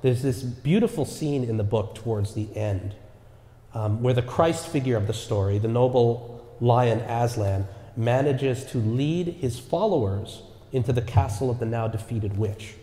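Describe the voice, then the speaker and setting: Man, pastor, earnest sermon, mellow warm tone, long reverb, church echo. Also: mid-range voice, a little slow. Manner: earnest preaching with repeated rhetorical "right?" asides. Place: church sanctuary.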